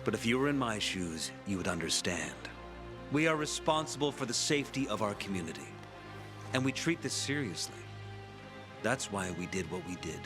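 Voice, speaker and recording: Low-voiced, preachy survivor leader. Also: male voice, sing-song. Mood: smooth, measured. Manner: deliberate, pompous, preacherly. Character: shrewd, preachy male leader of a survivor community. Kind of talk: dramatic video game acting. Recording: background music under the voice.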